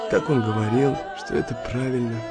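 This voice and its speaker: An actor playing a shell-shocked, brooding young British sailor in a wartime audio drama, speaking in halting, emotional monologue. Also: male voice, medium pitch, fairly even intonation, lightly acted. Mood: anguished. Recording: music under the voice.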